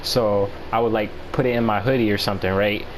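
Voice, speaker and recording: An American man chatting casually as he tells a story, with slangy, profane and halting delivery. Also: on the low side, fairly even intonation, slight background noise, poor audio. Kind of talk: casual storytelling chat among friends.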